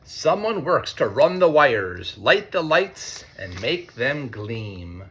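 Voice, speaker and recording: An American man, a teacher, reads a picture book aloud to children in an expressive way, with conversational asides. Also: mid-range voice. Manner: expressive, deliberate when reading, conversational in asides. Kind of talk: read-aloud storytelling with chatty asides to children.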